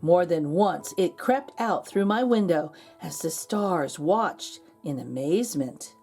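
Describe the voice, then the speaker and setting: A woman narrating a children's storybook aloud as a read-aloud host, expressive and drawing out key words. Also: on the low side, slow pace, gravelly, faint music behind.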